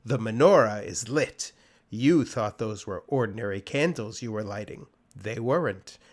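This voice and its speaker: Storybook hero: man as fearless, cheeky male trickster, teasing, very animated intonation. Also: fairly low pitch, moderate pace, echoey room, long reverb tail. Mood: defiant. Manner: dismissive, teasing, swooping intonation. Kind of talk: dramatic storybook reading.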